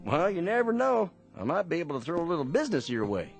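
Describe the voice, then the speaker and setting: Lively, folksy Old West barkeep. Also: male voice, a little high, fast talker, creaky, faint music behind. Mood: friendly, curious. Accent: American. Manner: garrulous, folksy, earnest. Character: chatty, folksy, proud Old West saloon keeper. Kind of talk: radio drama acting.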